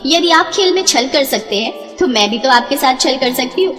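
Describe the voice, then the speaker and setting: A high, lilting goddess speaks with teasing sweetness and formal reverence. Her voice swings playfully through a devotional tale. It rings in a long reverb over music. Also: lively intonation, moderate pace, fairly bright tone. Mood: playful, firm. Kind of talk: animated devotional story dialogue.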